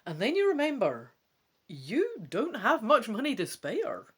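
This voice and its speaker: Animated Scottish woman reading a gamebook. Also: fairly high pitch, measured pace, breathy edge. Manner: expressive, theatrical. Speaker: gamebook let's-play host. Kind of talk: dramatic storybook reading with casual commentary.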